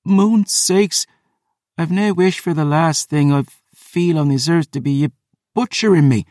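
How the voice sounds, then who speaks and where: A man voicing a gravely wounded, defiant woman warrior with a Scottish accent, halting and short of breath in an audiobook. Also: on the high side, a little mellow, no echo, clean studio sound, pitched above the performer's own voice, natural voice. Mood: pleading. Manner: halting, broken by pain. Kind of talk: audiobook character voice.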